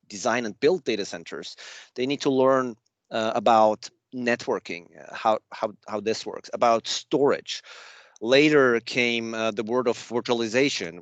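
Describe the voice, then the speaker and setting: Swiss-accented male presenter, lowish voice, casual self-deprecating delivery, dry remote-call sound. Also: no room sound, dull audio. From Switzerland. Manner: chatty, loose and self-deprecating. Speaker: guest speaker at a user group. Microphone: remote video call.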